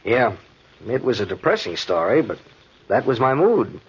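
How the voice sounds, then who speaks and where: Husky, animated radio-drama hunting buddy. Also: male voice, medium pitch, moderate pace. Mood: gloomy, brooding. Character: confident, jovial brother-in-law and hunting companion, a writer. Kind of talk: 1940s radio drama acting. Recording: muffled old radio recording.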